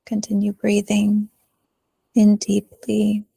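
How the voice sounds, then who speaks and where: A woman leading a guided meditation in a soothing manner, pausing often, with soft phrase endings. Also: medium pitch, monotone, vocal fry, husky, no echo. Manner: slow, soothing delivery with long pauses.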